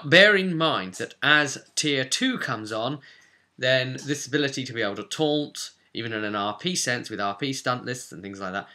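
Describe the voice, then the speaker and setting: A man presents an informal tutorial in a bright, forceful voice of average pitch. His delivery is conversational and chatty, like someone talking a newcomer through the rules, checking in as he goes. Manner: chatty, checks in with "okay?" and "right?". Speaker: presenter of a tabletop roleplay primer.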